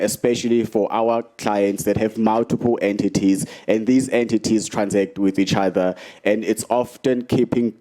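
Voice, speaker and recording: Warm low-voiced man demoing software. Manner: persuasive, repeats "right?" and "um", salesy. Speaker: software presenter. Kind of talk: live product demo.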